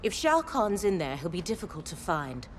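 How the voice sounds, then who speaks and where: A woman's voice: on the low side, very animated, normal speed, a little hiss or hum. An actress playing a bold, loyal warrior woman in a video game cutscene, with firm, earnest delivery. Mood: purposeful. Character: bold, loyal female warrior of a fantasy realm. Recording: game music behind the voice.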